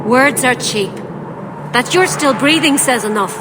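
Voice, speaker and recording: A woman's voice: high-pitched, lively intonation, unhurried. A woman playing a hostile elven clanswoman in a fantasy game, scornful and accusing. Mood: bitter, accusing. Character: bitter, hostile female elven clan member. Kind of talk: dramatic video game voice acting. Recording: clear background ambient noise.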